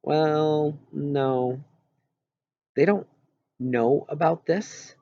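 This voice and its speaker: A man's voice: on the high side, fairly even intonation, extremely slow, dry recording, muffled recording, voice pushed up. A man reading an audiobook as a hopeful young kid, earnest and a little unsure but determined. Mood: hesitant, confiding. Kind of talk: audiobook character dialogue.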